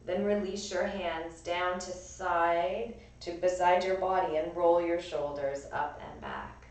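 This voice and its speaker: Woman yoga teacher, raspy strained voice, kinda low, echoey room from across the space. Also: slow pace, distant mic. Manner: calm, gentle cueing of breath and movement. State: strained voice from overuse. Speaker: yoga and pilates instructor. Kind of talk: guided class instruction.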